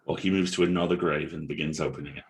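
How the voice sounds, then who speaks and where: Male narrator, deep pitch, very raspy, scripted stage directions. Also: steady tone, fast.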